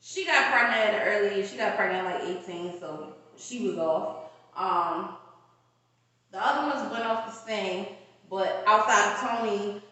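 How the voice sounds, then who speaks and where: A woman co-hosting a casual podcast, gossiping loudly with lots of slang. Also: medium pitch, moderate pace. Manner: loud, animated gossiping with slang. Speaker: podcast co-host. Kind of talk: casual chat. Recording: echoey room from far off.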